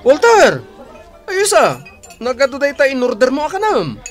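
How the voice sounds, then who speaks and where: Male office buddy, lively swooping pitch, chummy, excitable, radio drama, music behind. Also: moderate pace, full character voice. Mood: excited.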